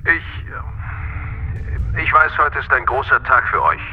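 A man's voice: mid-range voice, expressive, a little hiss or hum. Muffled, hesitant male caller over transmission. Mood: hesitant, uneasy. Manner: halting, apologetic. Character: hesitant, apologetic male caller from mission control. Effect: video-call transmission filter. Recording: muffled, band-limited radio transmission.

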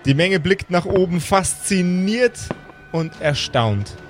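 A man's voice: high voice, animated, slow pace. A man narrating as game master in a dramatic, improvised storytelling style. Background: music.

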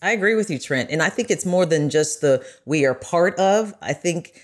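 A woman's voice: lowish voice, lively intonation, normal speed. A woman speaking as a podcast guest in an earnest, emphatic way. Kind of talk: podcast discussion.

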